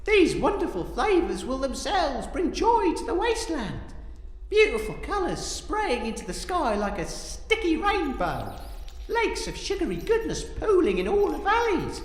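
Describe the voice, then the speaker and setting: An excitable hero acted by a man, whose pitch leaps around wildly. The delivery is earnest, florid and melodramatic, that of a kind-hearted comic innocent in an audio drama, echoing in a large hall. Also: unhurried, exaggerated voice. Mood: earnest, soothing.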